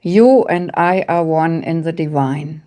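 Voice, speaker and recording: German-accented woman, calm spiritual guidance. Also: low-pitched, a little slow. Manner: calm, gently instructive, speaks in short repeated phrases. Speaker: psychic medium and coach, guest speaker. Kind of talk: online workshop talk with audience questions.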